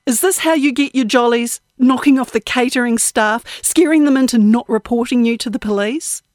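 A young woman, profane, jittery and defiant, snaps back fast. A woman reader voices her in a higher register. Dry, close sound. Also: a little mellow, dry recording, close to an everyday voice.

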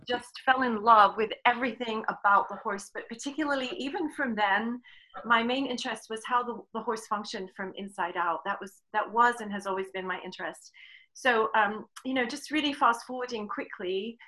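A woman's voice: on the high side, moderate pace, pushed and bright, low-quality recording. A middle-aged woman with an English accent, casually telling her own story as an interview guest. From England. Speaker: webinar guest, riding clinician and horse trainer.

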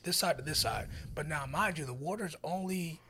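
A man in his forties, a military veteran guest, telling stories in casual chat and acting out quotes with loud bursts. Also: fairly high pitch, normal speed, a little hiss or hum. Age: 40s. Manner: animated storyteller who acts out quotes with sudden loud bursts.

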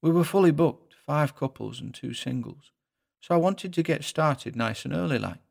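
A nervous middle-aged little innkeeper , voiced by a male narrator in an audio story. He speaks hesitantly and plainly, pitched up from the reader's usual voice. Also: expressive, moderate pace, creaky, a little mellow, exaggerated voice. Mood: uneasy, halting.

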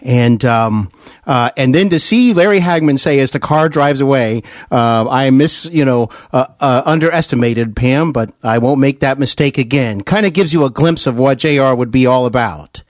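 A man hosting a podcast interview, speaking enthusiastically in long, halting, wordy questions to his guest. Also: medium pitch, dry recording, clean studio sound. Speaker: podcast host interviewing an actor.

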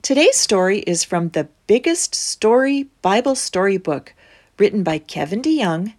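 A woman with a slightly low voice reads a Bible storybook for children. She takes her time, speaking very slowly and deliberately. Her delivery is expressive, in the manner of a storyteller hosting story time. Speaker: storybook reader and host. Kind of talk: read-aloud children's Bible story narration.

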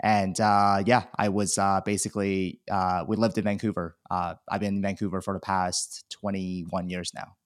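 A man's voice: deep voice, little pitch movement, moderate pace, hardly any pauses, no room sound, clean studio sound. A man in his thirties from China, telling his life story candidly as a podcast guest, self-deprecating and chatty. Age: 30s. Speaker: guest, e-commerce seller. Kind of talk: casual podcast interview.

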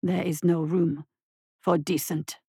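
A woman performs an ancient, hulking tortoise monster for a fantasy audiobook. The voice drops low into a rumbling growl. Each pronouncement comes slowly and deliberately, with cold, cruel authority. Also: little pitch movement, vocal fry, warm tone, breathy edge, no echo, studio quality, full character voice. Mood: dismissive. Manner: slow, deliberate, dismissive pronouncements.

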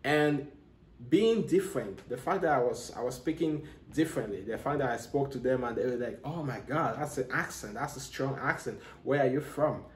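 A man from Cameroon talks to his podcast listeners in an earnest, motivational tone, often restarting his sentences. His voice sits at a medium pitch and carries a noticeable room echo. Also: moderate pace, slight background noise. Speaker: podcast host. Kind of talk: solo podcast monologue.

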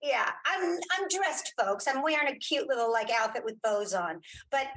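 A middle-aged woman with a fairly high voice speaks as a guest in a casual interview. She tells stories in an animated, emphatic manner, often feeling around for the right name as she goes. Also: moderate pace, rough recording. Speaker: interview guest, writer and director. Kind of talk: casual chat, telling anecdotes.

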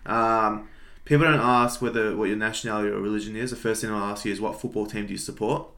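Low-voiced young Australian man. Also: fairly even intonation, fast talker, fairly bright tone. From Melbourne, Australia. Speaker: podcast co-host. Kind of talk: casual podcast chat.

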